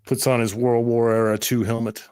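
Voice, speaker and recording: Smooth-voiced man running a game. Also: medium pitch, flat delivery, normal speed, a little mellow, no echo, studio quality. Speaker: game master. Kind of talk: vivid scene narration.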